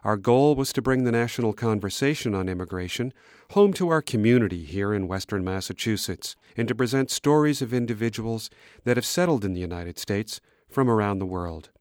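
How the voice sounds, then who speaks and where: A man hosting a public radio program, reading a scripted introduction in a measured, announcer-like way. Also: fairly low pitch, darkish tone, no room sound, clean studio sound. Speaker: radio executive producer and host.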